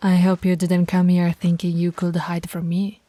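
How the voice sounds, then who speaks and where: A woman voicing a stern, commanding female werewolf pack alpha in an ASMR audio roleplay, bossy and scolding, sometimes dropping to soft whispers. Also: lowish voice, monotone, moderate pace, no room sound, close to an everyday voice. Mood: teasing.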